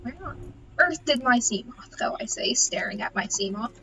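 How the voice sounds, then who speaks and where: A woman's voice: expressive, moderate pace. A woman giving casual live gameplay commentary, playful and wry, with mock-menacing asides. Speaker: game streamer. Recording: noisy, game rumble behind. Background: video game sounds.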